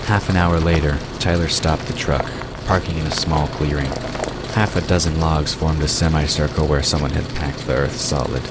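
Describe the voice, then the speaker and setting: A man with an extremely deep voice narrates a scripted audio drama. Clear ambient noise runs behind his storytelling. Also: steady tone, moderate pace.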